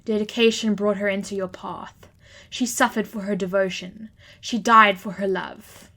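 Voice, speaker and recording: A woman's voice: mid-range voice, steady tone, moderate pace, bright, forceful tone. A woman playing a wrathful, regal goddess who declaims imperiously in an audio drama. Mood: righteous anger. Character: wrathful regal female deity, Queen of Vengeance.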